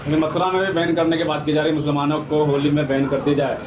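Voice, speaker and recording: A male interviewer fires off pressing questions at a quick pace. His voice is slightly high and sounds dull, with plenty of noise behind it. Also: level tone. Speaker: interviewer, reporter. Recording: muffled sound with loud background noise.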